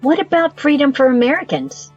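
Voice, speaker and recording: A woman playing a shrewd, commanding brothel madam in an audio drama, poised and probing, with a husky voice. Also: high-pitched, very animated, a little fast, a little mellow, lo-fi sound, soft background music.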